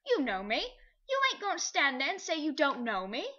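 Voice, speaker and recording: Woman voicing a rough-spoken old cab driver. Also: high-pitched, big pitch swings, moderate pace, sharp tone, exaggerated voice. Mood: coaxing. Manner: coarse working-class dialect, earnest. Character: rough working-class London cabby, middle-aged man. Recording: dull lo-fi recording.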